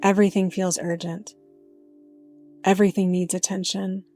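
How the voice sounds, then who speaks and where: Gentle, even-toned woman guiding meditation. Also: mid-range voice, soft background music. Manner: gentle, unhurried, with frequent pauses. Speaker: meditation guide. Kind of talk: guided meditation narration.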